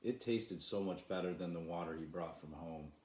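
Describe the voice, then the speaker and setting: Deep-voiced man in an echoey room. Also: normal speed, darkish tone. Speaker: author reading his own children's book. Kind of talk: storybook narration.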